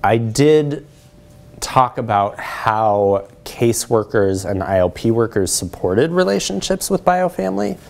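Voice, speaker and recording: A man's voice: mid-range voice, animated, measured pace, creaky. A middle-aged man lecturing in a conversational way as he presents research and takes questions. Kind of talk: conversational academic talk with questions. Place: lecture room, indoors.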